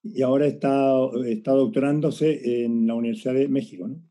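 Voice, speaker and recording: A man's voice: mid-range voice, fairly even intonation, fairly quick, mellow. A man moderating an academic online forum, speaking off the cuff and often repeating words as he searches for phrasing. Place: remote online video forum.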